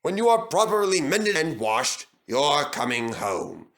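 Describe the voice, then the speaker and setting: A man as a dignified, clear-cut gentleman boss. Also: fairly high pitch, huge pitch swings, full character voice. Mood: kindly, proud. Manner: measured, formal, impressive. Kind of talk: storybook fandub character voice.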